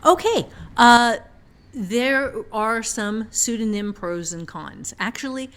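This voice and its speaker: A woman's voice: fairly high pitch, expressive, slow, a bit bright. A woman author and teacher explaining casually on a podcast, repeating phrases for emphasis.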